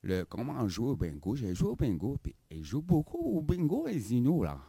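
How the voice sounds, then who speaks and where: A man's voice: mid-range voice, wildly swooping pitch, normal speed, vocal fry, warm tone, slightly rough, dry recording, heavily acted. A man playing a silly, boastful African visitor to Canada in a comedy interview sketch. He keeps calling the host by name and tacks filler tags onto his phrases. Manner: giggly, playful bragging.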